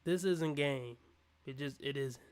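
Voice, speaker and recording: A man's voice: mid-range voice, a little slow, clean tone. A man reacting on a livestream to a dating show, mocking and scornful as he rants over the clip. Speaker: livestream commentator. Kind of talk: reaction commentary.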